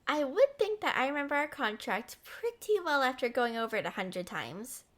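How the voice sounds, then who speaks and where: Woman acting a high-voiced, coy, smitten princess. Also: big pitch swings, normal speed, fairly bright tone, full character voice. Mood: coy, commanding. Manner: coy, entitled, playfully defensive. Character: smitten, possessive, spoiled young princess. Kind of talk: scripted romantic roleplay audio.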